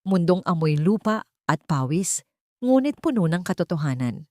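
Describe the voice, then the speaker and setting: A woman with a Filipino accent narrating a dramatic audiobook with expressive storytelling. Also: on the low side, moderate pace, no echo, clean studio sound.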